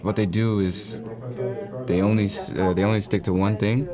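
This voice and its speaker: A man's voice: lowish voice, fairly even intonation, measured pace. A man speaking in a casual discussion. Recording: crowd chatter around voice. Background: crowd chatter.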